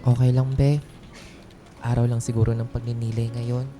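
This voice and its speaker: A man's voice: medium pitch, level tone, moderate pace, over music, natural voice. An actor playing a timid, weary male office employee in a radio drama, hesitant and resigned.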